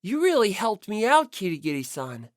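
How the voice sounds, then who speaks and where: A woman's voice: mid-range voice, sing-song, moderate pace, dry recording, clean studio sound, natural voice. A woman voicing a modest, kindly teenage schoolboy in an audiobook, sincere and easily flustered.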